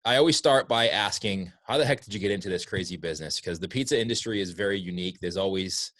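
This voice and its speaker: A man with a low voice who talks fast as a podcast host, keeping things upbeat. His voice is recorded dry and close, with no room sound at all. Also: fairly bright tone, dry recording. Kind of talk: podcast interview.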